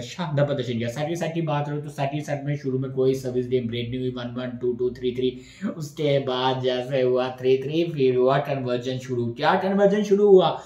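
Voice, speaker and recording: Young man, higher-pitched, echoey room. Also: a little mellow. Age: young adult. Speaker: sports YouTuber and host. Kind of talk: post-match tennis analysis to camera. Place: small room indoors.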